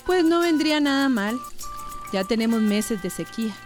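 Lady doing a busy scolding costa rican mom, kinda high, faint music. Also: lively intonation, moderate pace, close to an everyday voice. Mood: fussing. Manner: flustered, scolding. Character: busy, fussing village mother.

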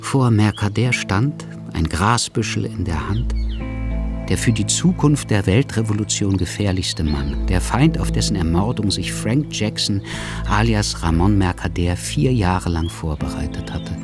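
Low-voiced male narrator over music. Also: lively intonation, unhurried. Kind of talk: scripted radio drama narration. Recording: studio production with music underneath.

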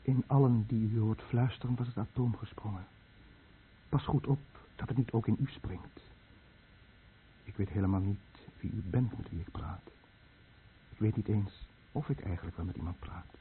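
A man's voice: lowish voice, moderate pace, long pauses, lightly acted. An actor playing a dying, guilt-ridden fisherman who tells of his lost village in a brooding, bitter radio drama monologue. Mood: urgent warning. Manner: brooding, bitter, confessional monologue addressed to the listener. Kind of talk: Dutch-language radio drama narration. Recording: muffled narrow-band old radio recording, faint noise.

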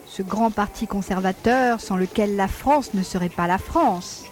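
Actress as haughty conservative grande dame, medium pitch, refined, disdainful, audio drama. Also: female voice, measured pace, darkish tone, lightly acted. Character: haughty society lady, fervently conservative. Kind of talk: French audio drama acting.

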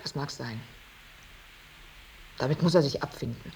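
Ernste, ergebene Tochter, rauschende Hörspielaufnahme. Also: female voice, rumbling low, measured pace, a little mellow, heavily acted. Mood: subdued, heavy. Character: earnest, devoted, unmarried painter's daughter, weary and dignified. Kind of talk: German radio drama acting. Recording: radio drama with clear tape hiss.

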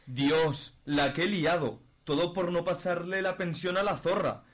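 Actor as middle-aged Spanish father, grumbling, guilty, slightly high voice, radio soap. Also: measured pace, fairly bright tone. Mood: rueful grumbling.

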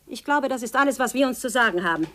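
A proud middle-aged woman in a 1950s radio drama. Her voice is on the high side, and her delivery is imperious, theatrical and biting, full of scorn and defiance. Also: lively intonation, quick pace, bright, forceful tone, a little hiss or hum. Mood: scornful, defiant. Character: proud, scornful, defiant aunt accused of murder. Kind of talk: 1950s German radio play acting.

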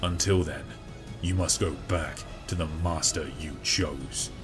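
A man voicing a commanding demigod warrior-king, speaking with a grave, slow, booming delivery in an audiobook. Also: steady tone, over music. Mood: stern judgment. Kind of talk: audiobook character voice.

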